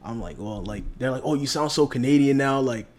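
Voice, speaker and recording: A man's voice: mid-range voice, darkish tone. A young man with a North American accent, rambling casually as a podcast co-host. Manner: quick and rambling, heavy slang and fillers. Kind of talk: casual chat.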